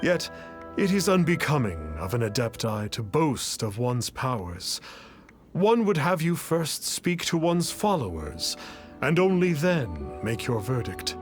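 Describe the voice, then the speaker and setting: Pompous self-styled sage. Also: male voice, mid-range voice, very animated, over music. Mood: boastful. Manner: grandiose, refers to himself as "one", slow and formal. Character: pompous masked man posing as a lofty adeptus. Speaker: swindler posing as an immortal.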